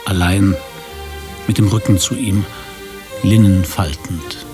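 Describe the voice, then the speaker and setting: A man's voice: deep voice, steady tone, slow pace, over music. A man narrating a scripted radio drama as storyteller.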